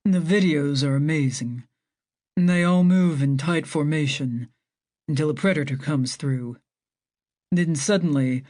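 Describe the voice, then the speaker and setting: A woman's voice: low voice, darkish tone, pitched below the performer's own voice. A woman voicing a self-assured male doctor who interrupts to take charge.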